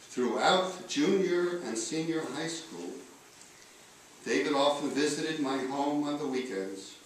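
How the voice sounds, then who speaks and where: A man reading a eulogy aloud in a solemn style, with measured, deliberate phrasing and pauses. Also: on the high side, lively intonation. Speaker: eulogist reading letters at a memorial. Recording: echoey room, distant mic, faint noise.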